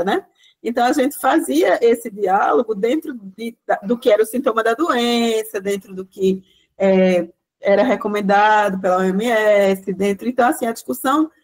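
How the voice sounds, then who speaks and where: A woman giving a rambling guest lecture over a video call, full of tag questions and restarts. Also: a little high. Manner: rambling explanation, frequent "né" tags and restarts. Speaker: guest lecturer, project coordinator. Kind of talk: online class talk.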